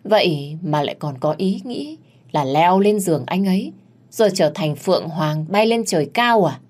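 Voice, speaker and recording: A woman's voice: on the low side, animated, moderate pace, a little mellow. A woman voicing a haughty, spiteful young female rival in an audio story, sneering and insulting. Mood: mocking.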